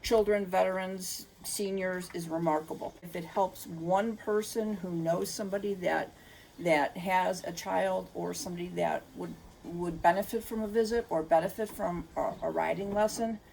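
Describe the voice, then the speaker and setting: A woman's voice: lowish voice, steady tone, normal speed, vocal fry, darkish tone, slight background noise, low-quality recording. An older woman, a children's author, giving earnest, unscripted, explanatory answers in an interview.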